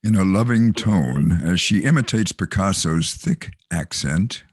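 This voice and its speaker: A man narrating a stage script and its directions in a measured, theatrical manner. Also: low voice, lively intonation, breathy edge, no echo, clean studio sound.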